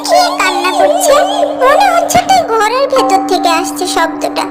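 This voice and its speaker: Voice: very high-pitched, big pitch swings, normal speed, a bit bright, full character voice. A performer voicing a story-loving, stubborn and curious little girl in a cartoon fairy tale, with a childish, pleading manner. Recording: background music.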